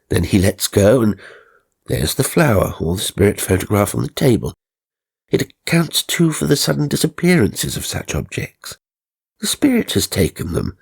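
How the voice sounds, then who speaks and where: A man voicing a prim, newly dead gentleman ghost in a storybook reading, musing and chatting in a genteel way. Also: lowish voice, vocal fry, husky, full character voice.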